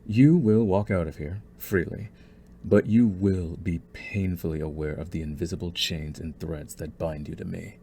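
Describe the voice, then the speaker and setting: A man playing a possessive, scheming young mafia boss in an ASMR roleplay, smug and deliberate, with mock courtesy. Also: low voice, fairly even intonation, mellow, a little hiss or hum. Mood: possessive, controlling. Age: young adult.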